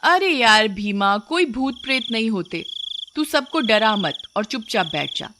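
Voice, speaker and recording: A woman's voice: fairly high pitch, big pitch swings, unhurried, noisy background, pitched above the performer's own voice. A woman voicing a sensible, devout male villager in a storybook tale, admonishing and reassuring. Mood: scolding.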